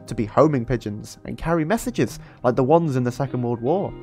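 A man's voice: mid-range voice, a little fast, warm tone, lightly acted. Man reading as earnest, cheeky twelve-year-old boy. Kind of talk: storybook read-aloud. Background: background music.

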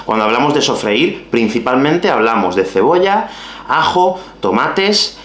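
A man in his thirties with a Spain Spanish accent, teaching Spanish vocabulary to camera in a lively, playful way. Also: medium pitch, a little slow, echoey room. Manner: lively, expressive, playful asides. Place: small bright room at home.

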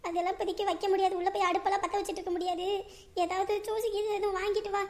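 A woman acting a caring, worried wife, speaking softly. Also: extremely high pitch, little pitch movement, very fast. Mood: fussing. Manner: soft, fussing.

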